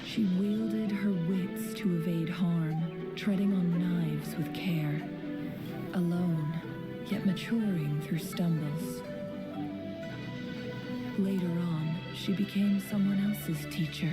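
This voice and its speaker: Wise gentle young heroine, low-leaning voice, unhurried, breathy, poetic and composed, game story delivery, music behind. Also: female voice, fairly even intonation. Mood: solemn, poetic. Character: serene wise young woman with fiery powers, wistful and gentle. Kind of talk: video game story dialogue and narration.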